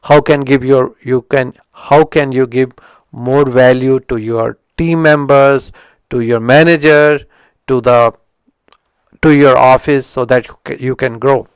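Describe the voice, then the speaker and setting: Indian-accented man, smooth and dry. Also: mid-range voice, fairly even intonation, no room sound. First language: not English. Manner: halting, with frequent restarts and self-corrections. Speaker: channel host reviewing a book. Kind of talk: unscripted spoken book review.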